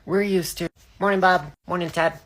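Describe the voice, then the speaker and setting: A young woman from Iceland telling a blunt, sweary story to camera with deadpan humor. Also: fairly low pitch, moderate pace.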